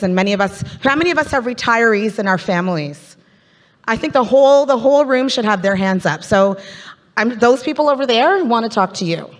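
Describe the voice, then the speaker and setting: A Canadian woman hosting a live business event as MC, chatty and playful as she draws the audience in over a stage microphone. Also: mid-range voice. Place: large conference hall. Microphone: handheld stage microphone. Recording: reverberant conference hall.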